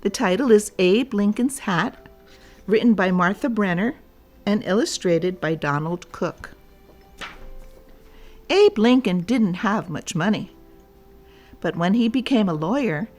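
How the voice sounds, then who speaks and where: A woman narrates a children's storybook in a medium-pitched voice. She goes slowly and deliberately, speaking to young listeners. Faint music plays behind her. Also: lively intonation, darkish tone.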